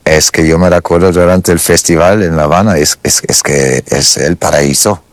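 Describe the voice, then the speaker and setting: Man, very low voice, unhurried, halting, choreographer being interviewed, dry close sound. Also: steady tone, dry recording. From Germany. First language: German. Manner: slow, halting, with pauses and repeated words. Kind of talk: interview answers.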